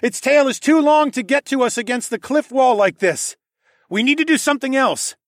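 A man's voice: extremely high pitch, normal speed, no room sound, clean studio sound, pitched above the performer's own voice. A man voicing a bold young male fighter in a fantasy audiobook, calling out urgently in the thick of battle. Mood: shouting, urgent. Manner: urgent, shouting, profane. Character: Alex, hot-headed bold young male fighter shouting plans mid-battle.

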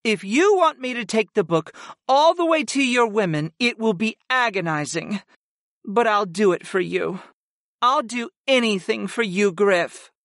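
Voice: animated, measured pace, a bit bright, dry recording, clean studio sound. A narrator voicing a devoted female winged succubus servant from a fantasy audiobook, submissive and pleading, with a sighing purr. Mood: wounded, devoted. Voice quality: high, sighing, purring.

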